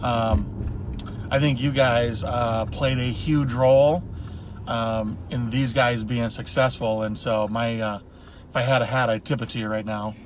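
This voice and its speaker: A man's voice: medium pitch, fairly even intonation, measured pace, a little hiss or hum. A man hosting a casual interview, chatty and enthusiastic, heaping praise on his guests. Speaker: host.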